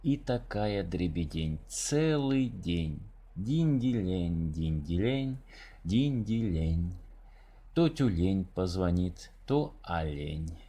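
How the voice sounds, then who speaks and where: Low-pitched, husky man reading a children's tale. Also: sing-song, slow pace, dark tone. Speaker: storybook narrator, reader. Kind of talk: expressive scripted reading of a children's verse tale.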